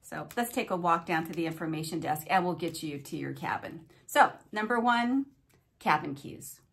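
A woman's voice: fairly low pitch, animated, normal speed, gravelly, fairly bright tone. An older woman hosting a home vlog, telling stories in a friendly way with playful asides, recorded at desk distance in a small room. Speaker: cruise vlogger host. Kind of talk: chatty countdown vlog.

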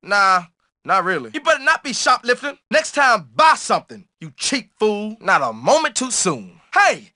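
Casting: cocky young street-smart jock for a video game, bright punchy voice, very animated, slangy, dry studio sound. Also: male voice, sits high, moderate pace, no echo, exaggerated voice. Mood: annoyed. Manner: slangy, brash trash talk. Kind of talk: video game character barks.

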